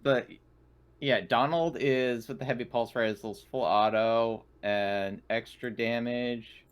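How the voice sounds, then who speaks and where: A man's voice: medium pitch, fairly even intonation, takes it slowly. A man chatting casually as a player at a tabletop roleplaying game, talking through his moves.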